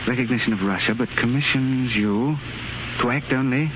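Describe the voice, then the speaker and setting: A man's voice: medium pitch, moderate pace, natural voice. Through heavy hiss and a dull 1930s broadcast comes an actor as a young English diplomat, ardent and ambitious. He is debonair and earnest, performed in period radio style. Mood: puzzled, reading aloud.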